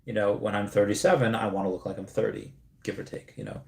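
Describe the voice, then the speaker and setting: A man's voice: lowish voice, fairly even intonation, fast talker, creaky, darkish tone. Rambling man in an echoey room. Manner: rambling, many restarts, laughs at comments. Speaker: streamer host. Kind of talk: casual livestream chat reading comments.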